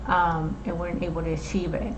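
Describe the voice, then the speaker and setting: A young woman from Puerto Rico, with a Puerto Rican accent and Spanish as her first language, explaining things in a measured way. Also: on the low side, flat delivery, noisy background. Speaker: host. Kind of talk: casual chat.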